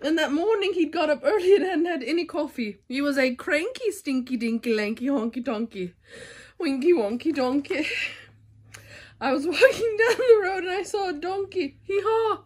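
A woman's voice: high voice, lively intonation, normal speed. A young woman reading a children's picture book aloud, giggly and struggling to keep a straight face. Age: young adult. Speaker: storybook reader. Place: living room sofa, indoors.

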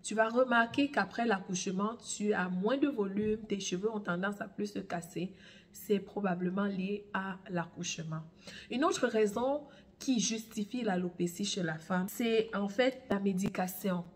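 A woman in her thirties with a mid-pitched voice, slightly affected by a cold. She explains health and hair care advice straight to the viewer. Her delivery is measured and emphatic, with pauses as she searches for words. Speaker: host of a natural health and hair care channel. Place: small room at home, indoors.

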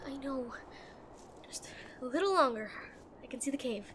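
A woman playing a brusque, protective and frightened tsundere girlfriend in a roleplay audio drama, speaking urgently and haltingly straight to the listener. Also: sits high, animated, fairly bright tone, slight background noise. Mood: urgent, pleading. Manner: bossy, urgent, emotional, halting.

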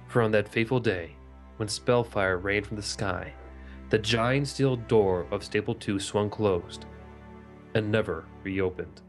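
A man reading a scripted story prologue as a dramatic narrator. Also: lowish voice. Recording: music under the voice.

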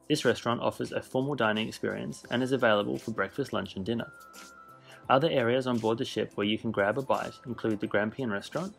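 Gravelly-voiced man narrating a ship tour. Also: medium pitch. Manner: measured, informative, list-style delivery. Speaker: cruise ship reviewer. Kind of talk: scripted voice-over narration.

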